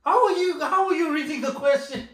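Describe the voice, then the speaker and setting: A man's voice: very high-pitched, fairly quick, fairly bright tone. A man in his thirties arguing his point insistently on a sofa at home, repeating himself and breaking into laughs, picked up by a camera across the room. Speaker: co-host of a couple's channel. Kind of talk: casual argument on a couch. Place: living room, sitting on a sofa. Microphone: far camera. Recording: echoey living room, camera mic.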